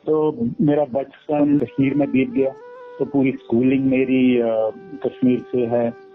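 A middle-aged man from Kashmir, a guest on a radio interview, speaking in a hesitant way with many pauses and English phrases mixed in. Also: medium pitch, little pitch movement. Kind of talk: casual interview chat. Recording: tinny phone-like radio sound, faint music.

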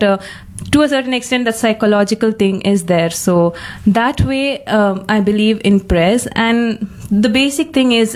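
A woman's voice: mid-range voice, a little mellow. A twenty-year-old woman speaking conversationally in a personal discussion, often hesitating and rephrasing as she explains herself.

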